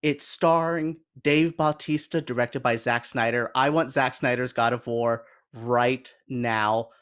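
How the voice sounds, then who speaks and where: An enthusiastic male podcast panelist in casual conversation. His voice sits at an average pitch as he pitches his opinions on films and games. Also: steady tone, unhurried, fairly bright tone, clean tone.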